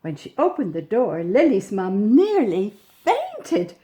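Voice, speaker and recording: A woman reading a storybook expressively to young children, gently chatting about the pictures as she goes. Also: mid-range voice, slow. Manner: gentle, animated, pointing out pictures with questions to the listener. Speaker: storybook reader.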